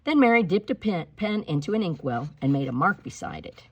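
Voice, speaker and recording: Woman reading aloud, low-pitched and slightly husky. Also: very animated, brisk, dry recording. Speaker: teacher reading a picture book aloud to pupils. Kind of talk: storybook read-aloud with teaching asides.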